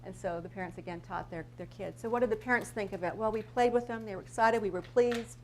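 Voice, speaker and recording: An American woman speaks as a school principal giving an informal talk. Her voice sits at a middle pitch, and she moves conversationally through anecdotes, often breaking off to rephrase. Faint noise and a hum run behind her. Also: fast. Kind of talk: informal live presentation with slides and stories.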